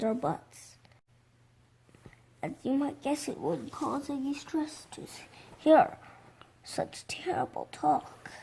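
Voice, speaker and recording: Young child reading slowly, lively pitch swings. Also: gravelly, husky. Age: young child, six. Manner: halting, stumbling over words. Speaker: reader. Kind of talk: reading a picture book aloud.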